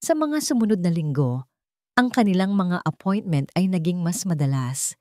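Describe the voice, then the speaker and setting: A woman narrating a scripted romance story in a measured, dramatic way with deliberate pauses. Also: fairly low pitch, expressive, darkish tone, no room sound, studio quality.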